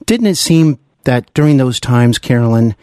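A man's voice: medium pitch, expressive, normal speed, darkish tone, no room sound. Guy hosting an interview show, chatty and emphatic, really into it, dry sound no room. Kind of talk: conversational radio-style interview.

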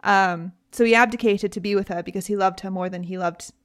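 A young British woman hosting a podcast, telling a story in a casual, chatty way with lively asides. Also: mid-range voice, brisk. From Britain. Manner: chatty, animated, frequent laughs and asides. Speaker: podcast host.

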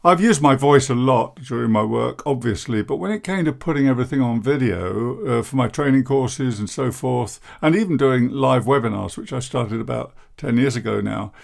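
A man in his sixties, a therapist hosting his own podcast, talking in a deep, croaky, downbeat and self-deprecating way. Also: moderate pace, few pauses. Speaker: podcast host, therapist and coach. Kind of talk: reflective podcast monologue.

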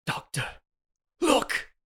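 A woman playing an anguished, guilt-ridden husband, pleading melodramatically in a campy old-style radio horror play. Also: fairly bright tone. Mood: horrified, frantic. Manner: overwrought, pleading. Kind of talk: campy 1930s radio drama table read.

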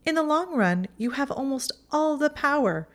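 A woman's voice: sits high, animated, moderate pace, fairly bright tone, voice pushed up. A woman voicing a haughty, mocking young witch who talks down to others in a condescending tone. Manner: condescending, sneering.

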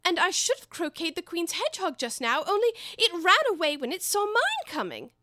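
A woman's voice: very high voice, huge pitch swings, normal speed, pushed and bright, dry recording, clean studio sound, voice pushed up, full character voice. A woman voicing a polite young girl who speaks in a prim, complaining tone.

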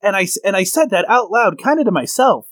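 A man's voice: sits high, big pitch swings, quick pace, fairly bright tone, dry recording, clean studio sound. A man co-hosting a comedy podcast in casual chat, explaining things at length and telling stories. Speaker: podcast co-host.

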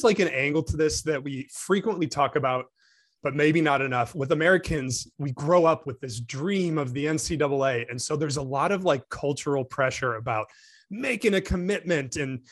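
A man's voice: on the high side, lively intonation, moderate pace. A man co-hosting a swimming podcast, chatting casually and thinking aloud with frequent restarts. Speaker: podcast co-host. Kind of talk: casual sports podcast chat.

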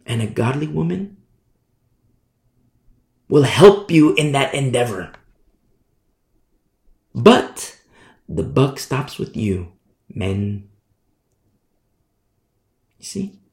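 A man preaching a sermon in an emphatic, repetitive style, swinging from hushed to loud. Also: mid-range voice, sing-song, extremely slow, vocal fry, long pauses. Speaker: pastor. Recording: roomy echo.

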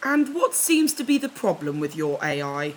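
A woman voicing a shady, matter-of-fact alien bear-like repair trader in a sci-fi audio drama, deadpan and clipped. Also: low voice, normal speed, slight background noise. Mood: sceptical.

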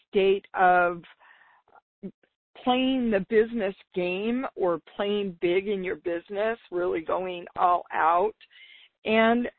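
Slightly raspy woman hosting, lo-fi sound. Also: medium pitch, no room sound. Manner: enthusiastic, emphatic, informal. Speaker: business podcast host. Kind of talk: upbeat solo podcast talk. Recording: dull lo-fi radio-like sound.

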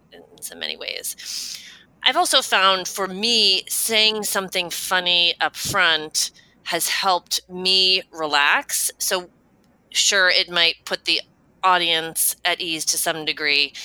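A woman's voice: fairly low pitch, sharp tone, clear, resonant tone, dry recording, low-quality recording. A woman hosting an interview, chatting casually and joking about herself. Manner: chatty, self-deprecating, laughs easily. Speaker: podcast host.

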